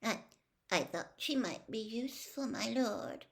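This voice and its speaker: A woman reader plays a cowardly, servile storybook manservant. The voice is pushed high and jumps about in pitch, panicky and stammering, with a sulky edge. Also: lively intonation, unhurried, creaky. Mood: terrified. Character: fearful, sulky, servile male henchman. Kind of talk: storybook character read aloud.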